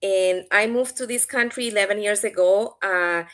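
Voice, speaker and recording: A woman in her forties from Colombia, a guest on an interview podcast, speaking in an earnest, grateful, measured way. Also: mid-range voice, a bit bright. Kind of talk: interview answers.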